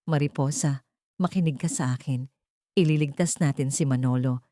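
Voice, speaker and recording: Anguished father, resolute and pleading, mellow and dry female narrator acting him out. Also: rumbling low, moderate pace, no room sound. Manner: emotional, pleading, dramatic. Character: anguished man in wheelchair, remorseful father, fiercely resolute.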